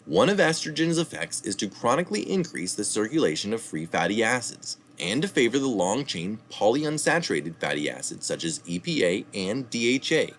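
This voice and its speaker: Male reader, low voice with a lively pitch, faint background hiss; steady article reading with asides. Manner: reads steadily, adding asides and correcting slips. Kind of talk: reading an article aloud with spoken citations.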